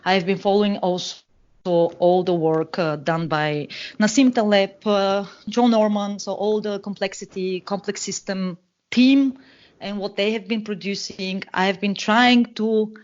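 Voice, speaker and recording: Woman, Bulgarian accent, hesitant with fillers, medium pitch, dull lo-fi call sound. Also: steady tone, measured pace, darkish tone. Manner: hesitant, many fillers and restarts. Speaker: guest analyst. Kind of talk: podcast interview, explaining.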